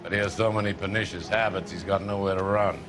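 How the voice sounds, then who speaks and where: A man playing a blustering crooked businessman in a game drama. His low voice is gruff, blunt and irritable, with music clearly underneath. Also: fairly even intonation, fast talker, natural voice. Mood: grudging, irritable. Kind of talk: dramatic video game cutscene acting.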